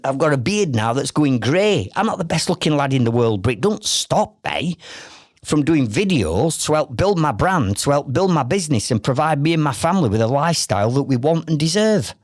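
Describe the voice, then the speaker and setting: A man's voice: a little high, no echo, clean studio sound. A middle-aged man with a Yorkshire accent, hosting a solo business podcast in a direct, persuasive way with many rhetorical questions. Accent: Northern English, Yorkshire. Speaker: podcast host.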